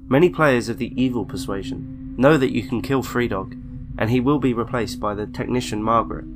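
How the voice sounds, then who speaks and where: A man reading a scripted online horror story in a measured, deliberate way, with pauses between phrases. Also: fairly low pitch. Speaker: narrator of a creepypasta video. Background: background music.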